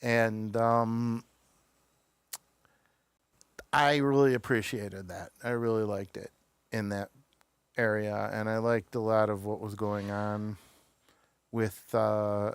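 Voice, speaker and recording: A man's voice: fairly low pitch, little pitch movement, slow pace, clean tone, no room sound. A man with an American accent, hosting a casual talk show in a hesitant, wandering way, often trailing off mid-sentence.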